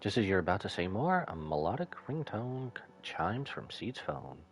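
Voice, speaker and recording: A man's voice: lowish voice, big pitch swings, moderate pace, soft background music. A man giving casual playthrough commentary as a game streamer, reading on-screen text aloud as he goes.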